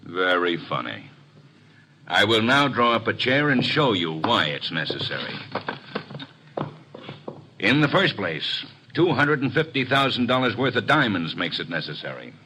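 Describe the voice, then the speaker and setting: An actor playing a gruff, sarcastic, bullying police inspector in old radio detective drama, brusque and commanding. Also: male voice, on the low side, very animated, unhurried, bright, forceful tone, a little hiss or hum, full character voice, low-quality recording. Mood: sarcastic, menacing. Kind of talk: 1940s-50s radio detective acting.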